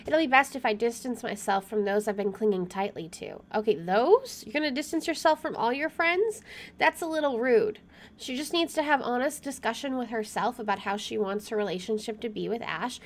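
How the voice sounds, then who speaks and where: Young female streamer, high voice, chatty and expressive, moves between casual talk and read-aloud narration. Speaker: game streamer. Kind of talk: casual chat and reading visual novel text aloud.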